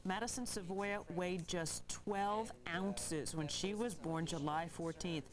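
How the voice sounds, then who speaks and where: A woman presents a scripted television newscast as anchor. Her voice is slightly lower than average for a woman. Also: slow. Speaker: TV news anchor.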